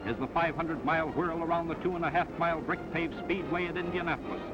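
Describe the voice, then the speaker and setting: A man's voice: on the high side, fast talker. An American man narrating a 1940s newsreel in a punchy, dramatic, slangy showman style, reading from a script. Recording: dull vintage 1940s newsreel soundtrack, loud music. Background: loud music.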